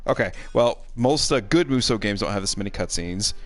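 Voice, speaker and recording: A man's voice: fairly low pitch, expressive, brisk. A man giving casual, grumbling and sarcastic commentary while playing a video game with a friend. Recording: game music behind the voice. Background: video game music.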